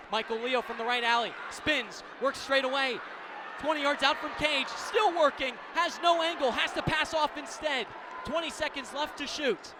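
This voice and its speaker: A man calling live lacrosse play-by-play on the radio in clipped present-tense phrases that build with the action. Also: very high-pitched. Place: live broadcast at a sports venue. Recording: noisy outdoor stadium ambience.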